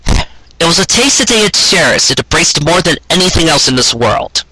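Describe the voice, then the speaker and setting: A man giving an expressive, earnest reading in the manner of a storyteller, with dramatic phrasing. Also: fairly high pitch, moderate pace, husky, rough recording. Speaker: fan fiction reader and show host.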